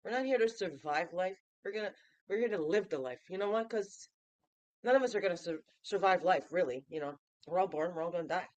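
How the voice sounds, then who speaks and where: A woman's voice: lowish voice, a little fast, darkish tone, no room sound. A woman in her fifties, nasal and stuffy from a cold, hosting a daily reflection vlog in a playful, chatty way and asking the listener questions. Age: 50s. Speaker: host of a daily reflection show. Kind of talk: casual reflective vlog talk.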